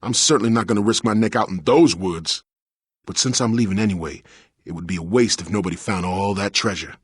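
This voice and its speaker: A jittery, cautious villager from a fantasy game. His voice is low and husky, and he confides everything urgently, like a conspirator. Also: brisk, dry recording, clean studio sound. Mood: furtive, nervous. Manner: urgent, conspiratorial, clipped colloquial speech. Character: secretive, cautious townsman confiding a tip. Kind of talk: fantasy game character acting.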